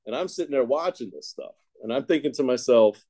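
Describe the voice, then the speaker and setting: A man's voice: mid-range voice, lively intonation, a little fast, mellow, slight background noise. A man from Ohio telling his own recovery story in a loose, rambling way, full of "you know" fillers and self-deprecating jokes. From Ohio, United States. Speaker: speaker sharing a recovery story. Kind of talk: casual personal storytelling.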